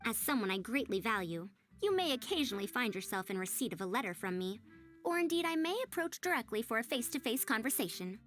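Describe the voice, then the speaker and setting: A girlish high-voiced scholar lady from a video game, prim n proud but kind, very proper wordy talk, pitch bounces around, music behind. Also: big pitch swings, quick pace, a bit bright. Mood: warm, composed. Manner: formal, articulate, old-fashioned wordy phrasing. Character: scholarly young-sounding woman researcher, prim, proud, kindly and earnest. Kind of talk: scripted video game character dialogue.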